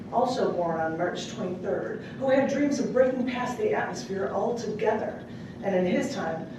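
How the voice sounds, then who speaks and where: Echoey, distant woman reading poetry aloud. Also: mid-range voice. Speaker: poet reading at an open mic. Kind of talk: live spoken-word poetry reading with casual asides. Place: public library room at a live event. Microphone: distant microphone. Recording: echoey room from far off, clearly noisy.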